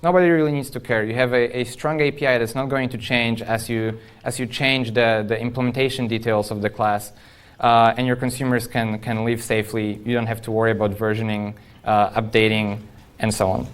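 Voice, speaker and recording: A man speaks as an engineer presenting at a tech conference. His voice is clean and resonant at an average male pitch. He talks informally and with firm opinions, putting his points straight to the listeners. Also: little pitch movement, a little fast, clear, resonant tone. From Poland. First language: Polish. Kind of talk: informal technical conference talk.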